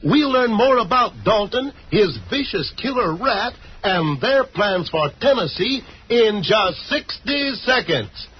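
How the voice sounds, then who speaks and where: An actor playing an excitable, folksy storyteller, narrating an old radio western serial theatrically. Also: male voice, sits high, slow, hardly any pauses, a little hiss or hum. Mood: dramatic. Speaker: narrator and announcer. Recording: dull old radio recording.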